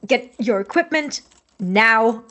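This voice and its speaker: Sarcastic, anxious young medical student. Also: male voice, extremely high pitch, lively intonation, takes it slowly, pushed and bright, exaggerated voice. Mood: urgent, rattled. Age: young adult. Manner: sarcastic, exasperated. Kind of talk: naturalistic audio drama acting.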